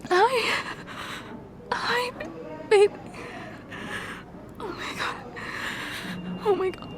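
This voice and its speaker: A woman's voice: extremely high pitch, background noise, exaggerated voice. A woman playing a shaky-voiced, tearful young fiancée. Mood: tearful relief. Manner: halting, stammering, repeating words. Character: distraught young woman fiancée, loving, emotionally raw. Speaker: ASMR roleplay performer. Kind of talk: ASMR audio roleplay.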